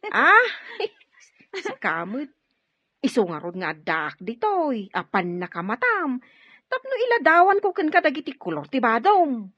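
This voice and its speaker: A woman playing a cheerful, talkative housekeeper in a radio drama, motherly and expressive. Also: high-pitched, measured pace, slightly rough, dry recording, heavily acted. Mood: friendly, concerned. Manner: effusive, expressive. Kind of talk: Ilocano radio drama acting. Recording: dull band-limited radio drama audio.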